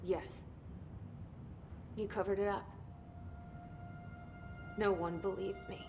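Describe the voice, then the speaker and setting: A woman's voice: mid-range voice, flat delivery, slow, over music. An actress playing an earnest, determined young woman in a video game, sincere and understated in delivery. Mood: haunted, earnest. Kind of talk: video game cutscene acting.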